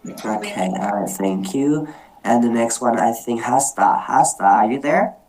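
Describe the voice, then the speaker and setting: Very low-voiced woman moderating slowly. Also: lively intonation, darkish tone, faint music behind. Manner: hesitant, many fillers and restarts. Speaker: meeting moderator. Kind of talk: online group discussion, personal storytelling. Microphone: video call.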